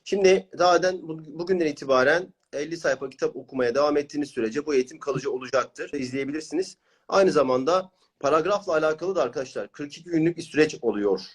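A man's voice: on the high side, quick pace, breathy edge. A man working as a reading coach and host, interviewing clients and explaining his course in an encouraging manner. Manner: encouraging, praising.

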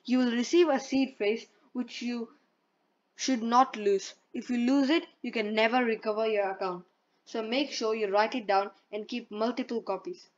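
A woman's voice: fairly high pitch, moderate pace. A woman presenting a step-by-step tutorial in a plain, instructional way, reading from a script. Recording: dull lo-fi voice-over.

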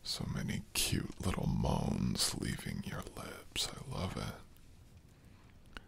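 Very deep, gravelly, breathy boyfriend played by a man. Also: very animated, whispered, full character voice. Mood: soothing, sensual. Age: young adult. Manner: breathy, murmured, slow and soothing. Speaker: audio roleplay voice actor. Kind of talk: intimate boyfriend ASMR roleplay.

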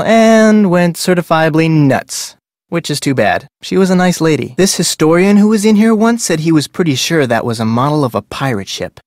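A man's voice: on the high side, no echo, clean studio sound, lightly acted. A man voicing a chatty, salesmanlike whale-watch operator with a sly side in a video game, with a breezy, glib way of talking. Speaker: game voice actor. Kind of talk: video game character dialogue.

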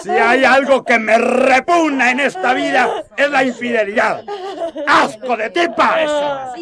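Sanctimonious, arrogant mob ringleader: strident, very high-pitched, radio drama with crowd. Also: male voice, measured pace. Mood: indignant. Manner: strident, indignant. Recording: crowd noise behind the voice.

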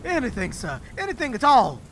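A man plays a frantic, devoted husband in a horror audio drama. He is agitated and stammering, with lively swings of pitch, and rain noise sits behind him. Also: brisk, heavily acted. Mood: nervous. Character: frantic, pleading husband devoted to his wife. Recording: rainy storm noise behind.

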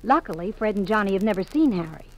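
A woman's voice: medium pitch, animated, fast talker, close to an everyday voice, rough recording. An actress playing an anxious, hesitant married woman with an imploring manner, in 1950s radio drama style. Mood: worried.

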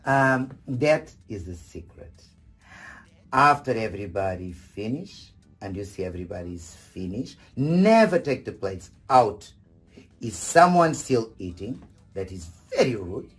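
A middle-aged man giving etiquette advice in a husky voice, theatrical and emphatic, often repeating phrases. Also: on the low side, very animated, measured pace, faint music behind. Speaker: etiquette host. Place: furnished sitting room indoors.

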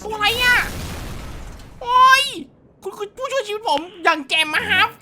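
A performer putting on an excitable, easily panicked cartoon game character with a squeaky voice, in an improvised comedic dub full of exclamations and crude slang. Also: normal speed, exaggerated voice. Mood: excited. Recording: loud music over the voice.

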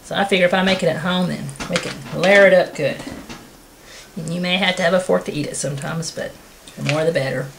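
A woman in her thirties hosting a cooking show, explaining each step in a plain, homey, unhurried way in a rustic wooden kitchen. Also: fairly low pitch, steady tone, vocal fry. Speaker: home cooking show host. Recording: noisy home video with kitchen clatter.

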